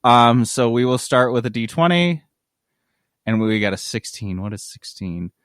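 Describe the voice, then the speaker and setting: A man's voice: medium pitch, animated, normal speed, a bit bright, clear, resonant tone, no echo, clean studio sound. A man chatting casually as a gaming podcast host, with loose, irreverent, sweary talk. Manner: profane, irreverent.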